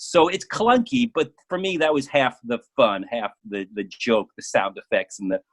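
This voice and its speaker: A man speaking casually as an interview guest about his work, often halting and repeating words as he goes. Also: medium pitch.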